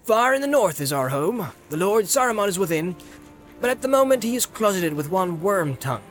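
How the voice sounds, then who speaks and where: Man playing a high-voiced, cheeky young halfling. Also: sing-song, a little fast, soft background music. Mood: grandly courteous. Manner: mock-formal, pompous politeness. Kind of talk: dramatised storybook reading.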